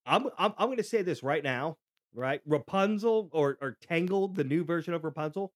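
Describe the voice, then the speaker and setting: A middle-aged man hosts a podcast, telling stories casually. His voice sits a little high and he often restarts and repeats words. The sound is very dry. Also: expressive, normal speed, dry recording. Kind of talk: casual conversational storytelling.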